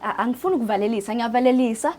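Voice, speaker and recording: A woman's voice: on the high side, rapid-fire, darkish tone, slight background noise. A woman with a South African accent presenting and asking questions as an interviewer.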